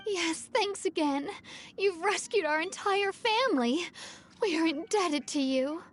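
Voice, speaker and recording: A grateful mother in a video game, earnest and high-pitched, speaking slowly with a faint hiss behind her. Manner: earnest, thankful. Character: grateful mother of a rescued family.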